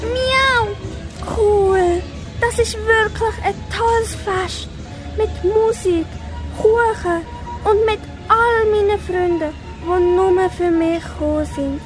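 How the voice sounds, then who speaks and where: A woman's voice: very high-pitched, slow, music in the background, low-quality recording. A young female performer voicing a sweet young farm animal in a children's amateur radio play. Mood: happy, touched.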